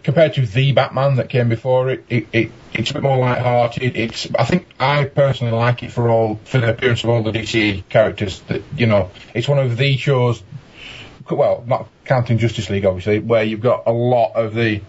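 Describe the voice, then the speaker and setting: A man's voice: medium pitch, fairly even intonation, a little fast, muffled recording. A man on a casual podcast panel who stammers and repeats his words as he talks. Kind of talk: casual discussion.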